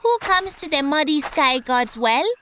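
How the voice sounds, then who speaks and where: A woman's voice: high-pitched, expressive, unhurried, sharp tone, a little hiss or hum, voice pushed up, full character voice. A woman voicing a proud, selfish female frog in a children's story, calling out in a haughty, dismissive way. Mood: bossy, dismissive.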